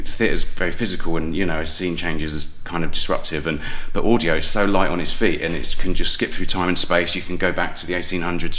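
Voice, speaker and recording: A man with a low voice and a bright, forward edge talks as an interview guest. He explains things articulately and at length, in a conversational, discursive style. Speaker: podcast guest, playwright and audio producer. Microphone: podcast microphone with headphones.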